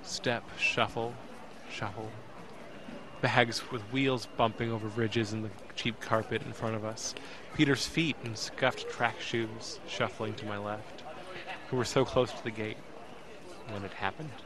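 A man playing a frightened young man, narrating a tense ordeal in first person in an audio drama, halting and intense. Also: fairly low pitch, steady tone, background noise, lightly acted. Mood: tense dread. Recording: busy airport crowd behind.

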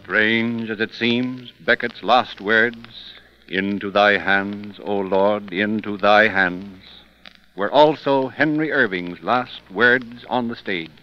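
A man giving measured, dramatic scripted narration in 1930s radio style. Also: on the low side, soft background music. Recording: muffled 1930s radio recording.

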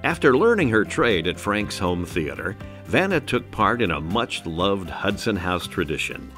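A man's voice: fairly low pitch, very animated, a little slow, a bit bright, faint music behind. A man reading scripted narration as a documentary narrator.

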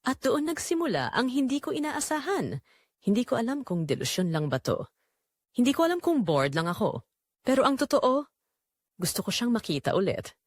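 A woman playing Rosa's part, a lonely, neglected wife in her forties, telling her story in a confessional, dramatic audio narration with short pausing phrases. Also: fairly high pitch, very animated, no room sound, clean studio sound. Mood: conflicted, longing. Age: 40s. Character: Rosa, lonely neglected forty-three-year-old wife, wistful and conflicted.

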